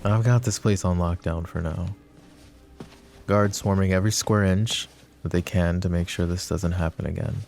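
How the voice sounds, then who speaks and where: A man's voice: deep voice, steady tone, normal speed, creaky, a little mellow, slight background noise. A man playing a possessive, protective mafia boss in an intimate, sardonic boyfriend ASMR roleplay. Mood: tense, brooding. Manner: intimate, brooding, sighing. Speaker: voice actor.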